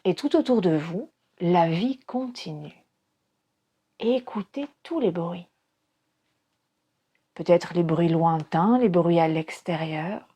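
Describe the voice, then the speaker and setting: A woman guides a relaxation meditation as a yoga nidra teacher, calm and soothing, speaking in short phrases with pauses. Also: on the low side, slightly rough.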